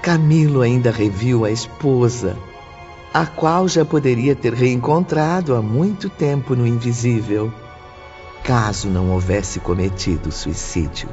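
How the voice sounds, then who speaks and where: A man narrating a scripted audiobook in a solemn, deliberate manner. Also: mid-range voice, a little mellow. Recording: dull lo-fi audio over faint music.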